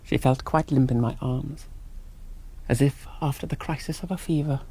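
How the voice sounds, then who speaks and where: A man playing an earnest young English soldier in a radio drama, speaking in hushed, measured, romantic narration. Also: mid-range voice, fairly even intonation, slightly rough, poor audio. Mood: tender, wistful. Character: earnest young British soldier hero on a cycling tour. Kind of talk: 1970s radio drama monologue and dialogue.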